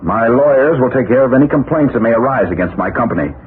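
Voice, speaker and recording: An actor playing an indignant, haughty crooked loan boss in a tinny 1940s radio drama. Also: male voice, mid-range voice, animated, fast talker, slight background noise, lightly acted. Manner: haughty, defensive.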